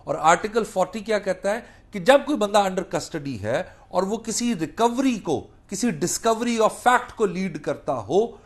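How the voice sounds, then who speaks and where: A man's voice: high-pitched, lively intonation, a little slow, hardly any pauses. A Pakistani man speaking as a law lecturer, explaining animatedly in mixed Urdu and English and repeating key phrases. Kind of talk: spoken lecture mixing Urdu and English legal terms.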